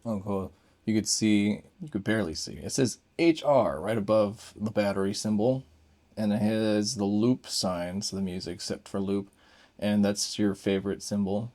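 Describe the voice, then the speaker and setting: A man's voice: lowish voice, moderate pace, dark tone. A man giving a casual, unscripted hands-on gadget review, thinking aloud in short fragments.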